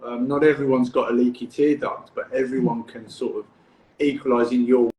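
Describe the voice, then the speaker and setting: A man's voice: medium pitch, normal speed, a little hiss or hum. British man hosting, echoey room. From United Kingdom. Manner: relaxed, encouraging, repeats words when starting. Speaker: freediving coach and podcast host. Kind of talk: casual podcast interview.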